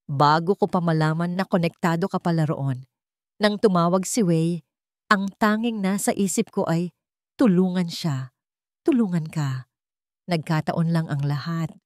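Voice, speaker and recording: A woman voicing an anxious businessman fiancé in a story, pleading with strained calm. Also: fairly low pitch, a little slow, pauses often, no echo, clean studio sound, natural voice. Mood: admitting, defensive.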